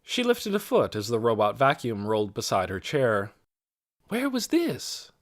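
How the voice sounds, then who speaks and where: Expressive male audiobook narrator, very varied intonation. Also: on the low side, normal speed. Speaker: audiobook reader. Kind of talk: scripted story narration.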